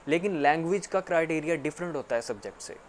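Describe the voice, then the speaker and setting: A man giving a casual spoken English lesson, repeating himself often and filling pauses with "actually". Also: on the high side, expressive, a little hiss or hum. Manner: repetitive, filler-heavy explaining with frequent "actually". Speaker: English teacher.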